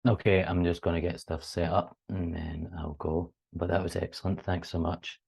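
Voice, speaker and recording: Low, mellow Scottish man presenting. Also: level tone, moderate pace, gravelly, breathy edge. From Scotland. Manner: friendly, earnest, with casual fillers. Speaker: PhD student presenter. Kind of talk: academic conference presentation.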